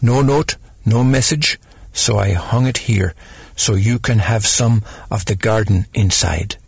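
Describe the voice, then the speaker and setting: A man voicing a deferential middle-aged gardener with a Mexican accent, husky and gravelly. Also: fairly low pitch, unhurried, no room sound, heavily acted.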